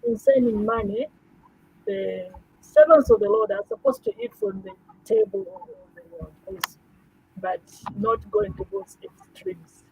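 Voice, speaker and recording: High, gravelly woman on a tinny call. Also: wildly swooping pitch, unhurried, a little mellow. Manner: rambling, emphatic, repeats words. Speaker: pastor's wife guest. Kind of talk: casual talk show chat. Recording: tinny phone-like call audio.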